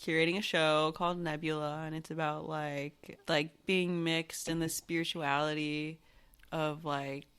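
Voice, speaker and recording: A woman from the Bay Area talks as an interview guest in a slightly low voice. She is easygoing and laughs often, with drawn-out pauses while she hunts for words. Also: level tone, a bit bright, smooth. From San Francisco Bay Area. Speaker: guest artist. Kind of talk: casual interview chat.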